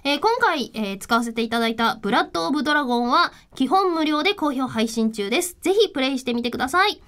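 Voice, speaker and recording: A woman's voice: sits high, animated, quick pace, fairly bright tone. A woman hosting a radio show, moving between scripted announcements and casual chat in a studio. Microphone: studio microphone.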